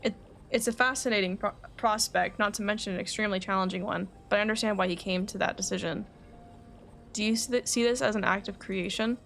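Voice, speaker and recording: A cerebral, composed alchemist as read aloud by a woman streamer, speaking in a formal, scholarly, measured way at medium pitch. Faint noise sits behind the game dialogue. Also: steady tone, vocal fry, fairly bright tone. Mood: calm, reflective.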